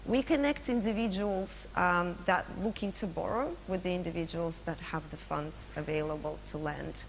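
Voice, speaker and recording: A woman's voice: fairly low pitch, moderate pace, gravelly. Russian-accented woman presenting in a hall. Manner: many fillers and restarts, engages audience with questions. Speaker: conference speaker. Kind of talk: business presentation. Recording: long hall reverb, faint hum.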